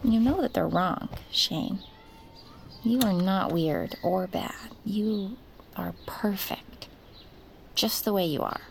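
Slow, creaky-voiced anguished mother. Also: medium pitch, a little hiss or hum. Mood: tender, motherly. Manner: tender, halting, emotional. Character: anguished, protective mother confessing a family secret. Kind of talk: audio fiction acting.

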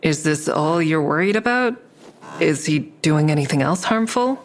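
A woman's voice: deep voice, animated, normal speed. An actress playing a guarded, uneasy female counselor who narrates her own story in an intimate, restrained audio drama style. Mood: guarded, professional.

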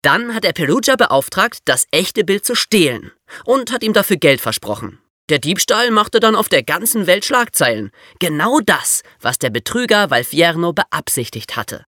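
Chatty boy, high bright voice, animated delivery, eager podcast storytelling. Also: normal speed, no room sound, clean studio sound, heavily acted. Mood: excited storytelling. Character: excitable, chatty boy sharing investigated theories. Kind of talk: scripted podcast banter and storytelling.

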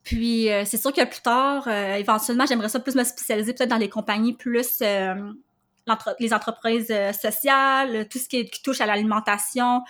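A woman with a Québécois French accent, chatting as a podcast guest, animated and full of fillers. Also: a little high, moderate pace. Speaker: guest copywriter. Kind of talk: casual podcast conversation.

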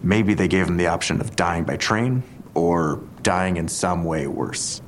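A police detective in an audio drama. His voice sits very low and he reasons in a deliberate, analytical way. A faint rumble runs underneath. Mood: measured, speculative.

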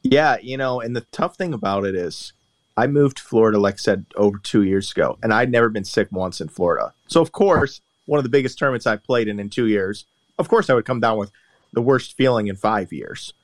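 A man's voice: on the low side, lively intonation, a little fast, no room sound. A man chatting casually on a podcast, telling long, rambling, self-deprecating stories into a close mic. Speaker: golfer guest and regular of the podcast.

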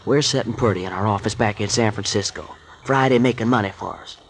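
An actor playing a complaining, folksy sidekick with a drawl in a 1940s radio drama. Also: male voice, on the low side, a little fast, slight background noise, close to an everyday voice. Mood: grumbling. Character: grumbling folksy male sidekick.

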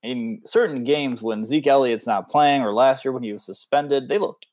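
A man's voice: mid-range voice, moderate pace, a little mellow, no echo. Dry-sounding man rambling about football. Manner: rambling, many fillers. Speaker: podcast co-host. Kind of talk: casual sports chat.

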